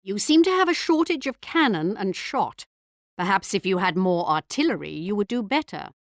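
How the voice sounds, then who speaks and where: English queen, British accent, proud, gracious, formal, theatrical game delivery, lively intonation, dry studio sound. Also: mid-range voice, moderate pace, dry recording. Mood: commanding, confident. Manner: formal, poised, theatrically regal, lilting. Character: regal English queen, gracious, proud, politely cutting war ally. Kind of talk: scripted strategy game voice lines.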